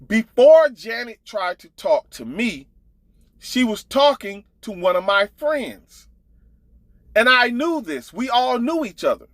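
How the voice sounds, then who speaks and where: A man's voice: very high-pitched, sing-song, slow, fairly bright tone, no room sound. A man telling a casual gossip story as an online commentator, blunt, profane and exasperated, driving his points home with emphatic repetition.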